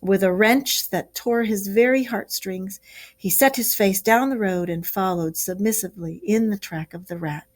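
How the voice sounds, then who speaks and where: A woman teacher reading a children's story aloud at home. Her voice sits at a middle pitch, and she reads slowly and expressively, shaping each phrase for young listeners.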